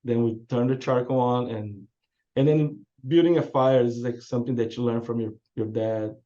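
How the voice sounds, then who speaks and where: A man's voice: mid-range voice, steady tone, normal speed, mellow, muffled recording. A man, chatting casually as a chef guest in an interview, with easy fillers and restarts. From southern Brazil.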